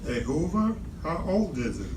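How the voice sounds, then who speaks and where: A practical, questioning friend in a radio play read by an older amateur man, with a slightly high, matter-of-fact voice. He is far from the mic in an echoey room. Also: sing-song, moderate pace. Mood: practical, puzzled. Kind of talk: amateur radio theatre reading. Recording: echoey room, distant mic, noisy background.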